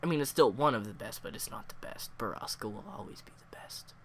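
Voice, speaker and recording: A man with a cold, sniffling and hoarse, reviewing a story in a casual, rambling way full of fillers. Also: mid-range voice, animated, fast talker, slight background noise. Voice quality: hoarse, worn voice.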